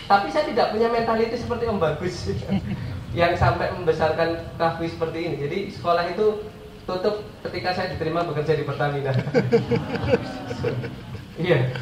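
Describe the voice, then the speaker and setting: A man's voice: high voice, moderate pace. A man in his forties with an Indonesian accent and a stuffy, nasal voice, giving an informal, jokey motivational talk to listeners he treats as friends. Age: 40s. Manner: jokey, self-deprecating asides, addresses listeners as friends. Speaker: guest motivational speaker. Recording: echoey room, far mic, clear background noise.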